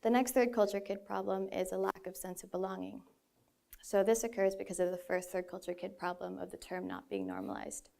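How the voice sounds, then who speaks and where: A woman's voice: medium pitch, expressive, fairly quick, gravelly, dark tone. Young woman giving an earnest stage talk. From Philippines. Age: 20s. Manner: earnest, with light self-deprecating laughs. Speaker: speaker. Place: stage in a large hall.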